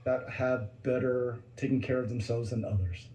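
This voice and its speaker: A man's voice: medium pitch, steady tone, moderate pace, dark tone. A man, a fire department captain, plainly answering questions from commissioners at a public meeting. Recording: echoey room with faint ambience.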